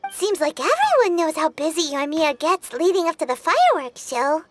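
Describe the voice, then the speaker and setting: Game fairy companion, chirpy and curious,; very high, lively, over music. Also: female voice, normal speed. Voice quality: high, childlike. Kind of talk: video game voice acting.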